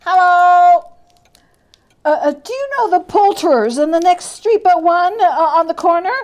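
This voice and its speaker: A woman's voice: very high-pitched, moderate pace, darkish tone, pitched above the performer's own voice. A woman playing a repentant old miser man in a dramatic storybook reading, stammering and exclaiming with overwrought emotion. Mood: giddy, overjoyed. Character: repentant old miser man, pleading then giddy with joy.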